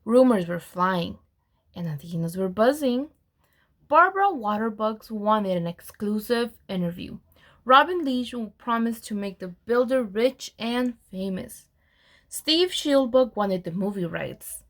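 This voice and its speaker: A woman reading a children's storybook aloud in an expressive storyteller's manner, with deliberate pauses. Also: mid-range voice. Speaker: program administrator reading aloud.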